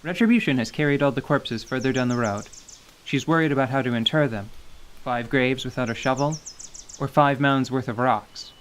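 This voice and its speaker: A man giving scripted, measured storytelling narration for a fantasy radio drama. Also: medium pitch, fairly bright tone, slight background noise. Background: light music.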